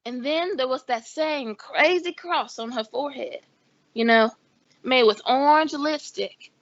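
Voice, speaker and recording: An actress playing a gossipy, harried small-town telephone operator, chatty and brisk, in old-time radio style. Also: female voice, sits high, no echo, muffled recording.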